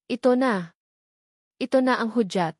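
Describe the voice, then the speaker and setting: A woman's voice: fairly high pitch, measured pace, no room sound, studio quality. A woman with a Filipino accent voicing a desperate, determined young woman in an audiobook love story. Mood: nervous resolve. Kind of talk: audiobook character acting.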